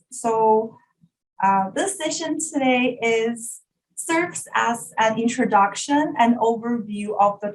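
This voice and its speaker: Woman w/ mandarin chinese accent, slightly high voice, polite workshop intro over a video call. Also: a little hiss or hum, rough recording. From China. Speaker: workshop facilitator, educational developer. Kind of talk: workshop introduction, prepared presentation. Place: online video call session.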